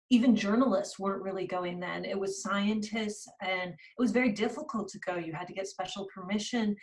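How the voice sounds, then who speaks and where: A woman from California talks about her book on a video call, chatty and full of small fillers and restarts. Her voice is middle-pitched and sits a little back from the microphone. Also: distant mic, poor audio. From California, USA. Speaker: author and journalist. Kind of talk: book reading, then interview answers.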